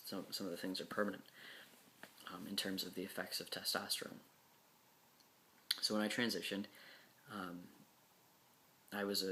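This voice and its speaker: A young man talking frankly and casually to camera, with many pauses and repeated words. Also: low-pitched, creaky, pushed and bright. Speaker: speaker answering class questions.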